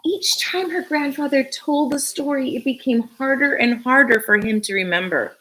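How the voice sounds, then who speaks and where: A woman's voice: high-pitched, pushed and bright, low-quality recording. A woman teacher reading a picture book aloud to children expressively, with short phrases and casual asides. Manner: addresses young listeners, pausing between short phrases.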